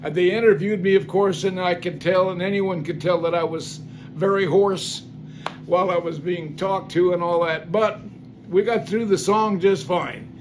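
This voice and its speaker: An older man tells a story from his own life in a loose, folksy way, stopping for plenty of ums and restarts. His voice sits high for a man. A steady hiss of noise runs under the recording. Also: normal speed. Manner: rambling, folksy, many fillers and restarts. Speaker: singer telling life stories. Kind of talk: casual spoken testimony.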